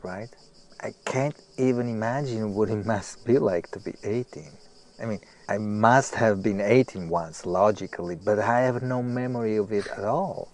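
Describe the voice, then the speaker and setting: Male actor as self-absorbed older author: fairly deep, reflective, halting, audio drama. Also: slight background noise, lightly acted. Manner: hesitant, reflective, detached. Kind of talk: naturalistic audio drama acting.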